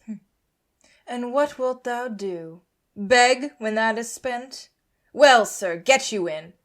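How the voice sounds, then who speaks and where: Cruel young lord, female actor, higher-pitched, imperious and sneering, classical audio drama. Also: slow. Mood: cold, dismissive. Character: haughty, scornful elder brother, a cruel young nobleman. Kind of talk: Shakespearean radio play acting.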